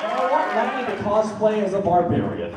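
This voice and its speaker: A boisterous, jokey man chatting excitedly as a panelist at a convention. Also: sits high, a little fast. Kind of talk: joking panel chat. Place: large hotel convention panel room. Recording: very echoey hall, far off, noisy crowd. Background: loud crowd and room noise.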